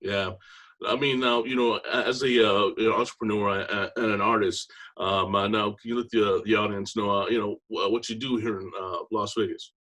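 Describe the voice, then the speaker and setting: A man hosts a casual interview, halting often on "uh" and calling his guest "man". His voice sits low. He keeps it loose and conversational. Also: steady tone, fairly bright tone. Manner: halting, heavy "uh" fillers, friendly "man". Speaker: interview host.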